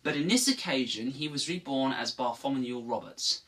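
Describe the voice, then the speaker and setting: Bright-voiced man rambling about game lore. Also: mid-range voice, moderate pace, far from the mic, poor audio. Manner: rambling, self-correcting, offhand. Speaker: gaming channel host. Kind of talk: casual game lore explainer.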